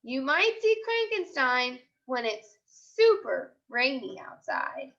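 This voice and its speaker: A woman teacher reading aloud to young children in an expressive storytelling way, with deliberate pauses. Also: sits high, creaky, muffled recording. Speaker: elementary school teacher.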